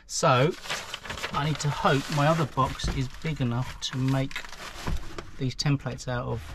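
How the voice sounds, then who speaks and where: A man's voice: mid-range voice, steady tone, a little slow. Guy in his 40s talking through a diy job in his car, chatty, jokes at himself, faint hiss. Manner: chatty, self-deprecating, thinking aloud. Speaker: vlogger. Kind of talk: casual how-to narration. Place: inside a parked car. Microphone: action camera mounted in the car.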